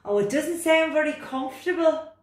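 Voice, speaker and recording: Lady doing a little frog from a kids book, high pleading voice full of questions, a bit far from the mic. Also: animated, normal speed. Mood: complaining. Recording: roomy, distant microphone.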